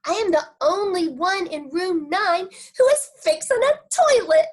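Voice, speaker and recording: Woman as little girl, children's audiobook, high-pitched, dramatic, indignant, whiny, very animated. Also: a little slow. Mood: excited. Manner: childish grammar, indignant exaggeration. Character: dramatic, whiny, excitable little girl narrating her life. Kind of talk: first-person children's audiobook reading.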